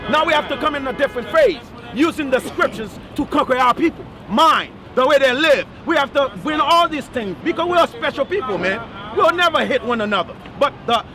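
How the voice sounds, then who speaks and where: High-pitched street preacher. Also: male voice. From Haiti. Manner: emphatic, repeats "you understand?". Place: outdoors on a busy street. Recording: outdoor street recording with crowd noise.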